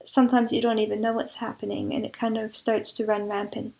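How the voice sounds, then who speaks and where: A woman speaks as an interview guest in a fairly high voice with noticeable vocal fry. Her intonation stays very even. She explains ideas reflectively, reaching for examples and comparisons. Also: fairly quick. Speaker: interview guest, composer and audio drama producer.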